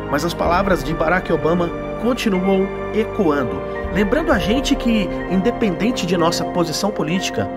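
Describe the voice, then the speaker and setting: A man giving earnest, inspirational narration as a channel host, with rhetorical questions. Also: sits high. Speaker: channel host, sales and leadership speaker. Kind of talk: scripted motivational narration. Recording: voice-over over loud music. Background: loud background music.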